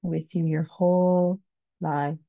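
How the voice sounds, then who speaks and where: A woman meditation teacher guiding a body scan, speaking calmly and soothingly in short phrases with long pauses. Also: fairly low pitch, creaky, dry recording, highs cut off. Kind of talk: slow guided meditation, body scan narration.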